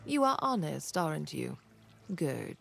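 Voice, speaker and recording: A woman voicing a proud, wary, ancient nature spirit in a fantasy game, speaking in a solemn, deliberate, haughty manner. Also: low voice, very animated, vocal fry, fairly bright tone, faint music behind, heavily acted. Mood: probing, disdainful. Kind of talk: dramatic fantasy game dialogue.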